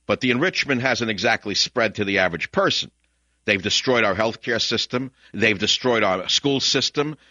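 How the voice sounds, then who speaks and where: An older man with a Bronx New York accent hosting a talk radio show, ranting combatively and swinging between muttering and shouting into a studio mic. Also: medium pitch, no room sound. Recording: dull, lo-fi, dry broadcast audio.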